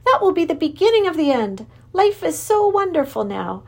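A woman voicing an exuberant, lovesick male creature king with antlers, who lisps and gushes in a high voice. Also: normal speed, vocal fry, heavily acted. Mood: anxious. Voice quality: lisping. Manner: high, gushing, excitable. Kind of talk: storybook reading.

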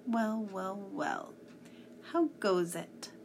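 Woman doing a breezy, creaky storybook villain man. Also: mid-range voice, slight background noise. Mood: amused. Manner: breezy, mock-polite, unhurried. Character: tall, smooth, casually menacing male henchman villain.

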